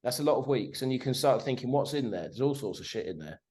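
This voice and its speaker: A man in his forties talks as a podcast guest over a video call. He swears freely and stays animated. He keeps tagging his points with "right?". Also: medium pitch, fast talker. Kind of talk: casual conversation.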